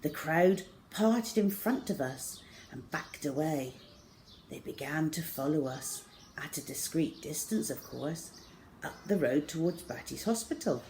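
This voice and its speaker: A middle-aged woman reads a children's story with expression. Her voice sits on the low side. There is some background noise in the room where she records. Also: expressive, measured pace, a little mellow, rough recording. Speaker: storyteller reading a children's book aloud. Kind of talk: expressive scripted story reading. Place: small plain room indoors.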